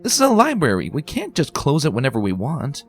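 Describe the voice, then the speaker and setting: A man's voice: a little high, huge pitch swings, brisk, faint music behind, pitched above the performer's own voice. A man voicing a bookish, determined young female unicorn pony in a fan reading, earnest and anxious. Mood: insistent.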